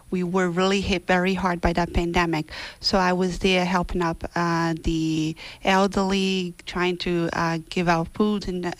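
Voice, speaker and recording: A woman's voice: on the low side, no echo, low-quality recording. Earnest woman candidate, dry sound. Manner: earnest, hesitant with many fillers. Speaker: political candidate. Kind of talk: debate opening statement.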